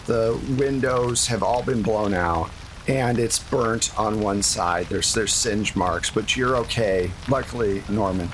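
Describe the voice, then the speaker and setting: A man with a medium-pitched voice acts as game master, narrating a tabletop roleplaying game in a relaxed conversational style. A faint rain-like noise sits behind him. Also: poor audio. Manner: casual, conversational.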